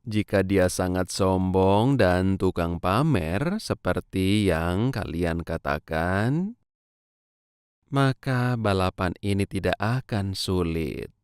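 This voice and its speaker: A man voicing a calm, self-assured tortoise in a children's cartoon fable, with a measured manner. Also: sits low, clear, resonant tone, dry recording, clean studio sound. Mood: calm, confident. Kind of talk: children's animated fable dialogue.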